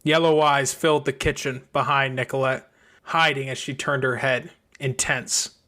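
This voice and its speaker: A man's voice: mid-range voice, fairly even intonation, normal speed, pushed and bright. A man reading a scripted fiction audiobook as its narrator. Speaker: audiobook reader.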